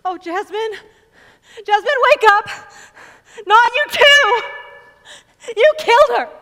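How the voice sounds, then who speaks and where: A woman's voice: very high-pitched, animated, slow pace, bright, forceful tone, heavily acted. A woman playing an anguished, grieving street woman in an operatic stage drama, impassioned and wailing. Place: theater stage at a staged reading.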